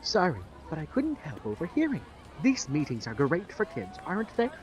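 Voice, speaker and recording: A man's voice: on the high side, wildly swooping pitch, moderate pace. A man playing an eager, starry-eyed devotee of an organization, chatting earnestly and gushing in an audio drama. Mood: friendly, eager. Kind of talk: audio drama small talk.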